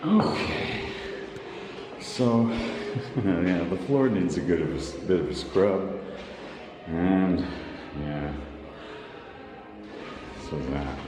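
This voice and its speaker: A man's voice: sits low, extremely slow, pauses often. Wry man musing in an echoey room. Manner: wry, musing, talks to himself. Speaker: vlogger. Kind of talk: casual self-talk while walking around. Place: empty indoor room under renovation, at night. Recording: echoey room with music behind.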